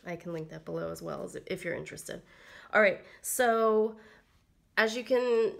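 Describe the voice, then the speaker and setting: A woman's voice: medium pitch, normal speed, creaky, fairly bright tone. A woman getting over a cold, her voice slightly froggy, chatting casually through a planner walkthrough with lots of ums and likes. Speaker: planner vlogger. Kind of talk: casual plan-with-me narration.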